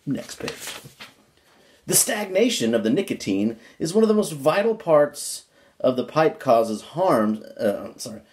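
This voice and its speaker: A man's voice: a little high, very animated, measured pace, darkish tone. A man giving an amateur dramatic reading of an old book, stressing words emphatically and adding wry asides.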